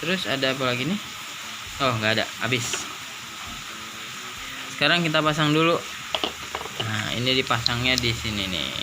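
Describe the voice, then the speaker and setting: A man's voice: medium pitch, expressive, moderate pace, pauses often, background noise. A man giving a casual unboxing commentary, informal and thinking aloud as he goes. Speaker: product reviewer. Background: music.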